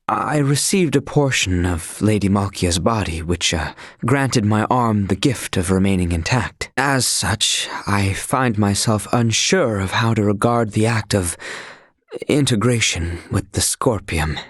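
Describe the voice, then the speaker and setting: An actor playing a devoted, earnest young knight in a video game, speaking in formal, archaic courtly language. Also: male voice, fairly low pitch, expressive, a little slow, husky, dry recording, studio quality. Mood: solemn, conflicted.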